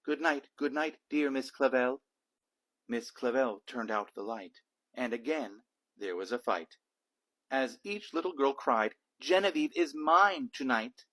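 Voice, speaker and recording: Slow, expressive, slightly husky male storyteller. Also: mid-range voice, poor audio. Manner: measured, rhythmic rhyming delivery. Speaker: read-aloud storyteller, a dad. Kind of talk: children's picture book narration.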